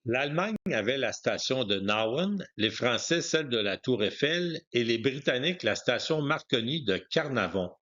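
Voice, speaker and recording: A man's voice: mid-range voice, animated, moderate pace, hardly any pauses, a bit bright, highs cut off. A man reading scripted historical narration as a documentary narrator.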